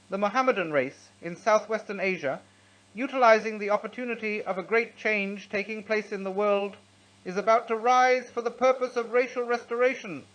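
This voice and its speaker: A man's voice: sits high, fairly bright tone. A man reads out a scripted wartime political address in a formal, declamatory manner. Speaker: reader of a translated wartime political speech. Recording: dull lo-fi sound.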